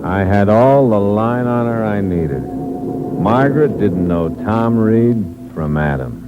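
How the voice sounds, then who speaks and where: An actor playing a cold, hard-boiled impostor who narrates the story in a terse, clipped manner, in a 1950s radio drama. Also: male voice, lowish voice, animated, takes it slowly, over loud music. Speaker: narrator and lead. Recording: muffled old radio recording with hiss.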